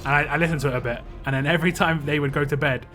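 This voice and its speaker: A man's voice: a little high, steady tone, racing, pushed and bright. A man chatting casually on a live stream while he plays a game, rambling and answering chat. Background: game music.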